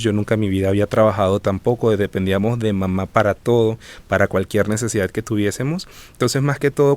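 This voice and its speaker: A man in his twenties with a Venezuelan accent, telling his own story as a guest in an earnest, measured way. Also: lowish voice. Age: 20s. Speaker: guest, student. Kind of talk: reflective personal account.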